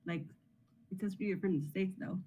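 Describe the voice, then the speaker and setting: A woman's voice: mid-range voice, moderate pace, warm tone, slightly rough. A woman in her twenties from Israel arguing in an online video chat, speaking with many fillers like "like". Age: 20s. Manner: filler-heavy, lots of "like".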